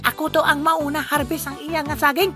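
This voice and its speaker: Brief: performer acting as a very high-voiced, impish schemer for comic audio drama, giddy, exclamatory self-talk, music underneath. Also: flat delivery, brisk, natural voice. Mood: gleeful scheming. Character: scheming, hungry, impish banana thief, giddy and vengeful.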